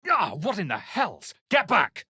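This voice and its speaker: A man voicing a wry, brooding adventurer in a fantasy video game, with a measured, dry, dramatic delivery. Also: high-pitched, huge pitch swings, pushed and bright, slightly rough, dry recording, exaggerated voice. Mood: alarmed. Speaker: player character voice in a fantasy role-playing video game. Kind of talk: video game voice lines, exploration remarks, spell chants and inward narration.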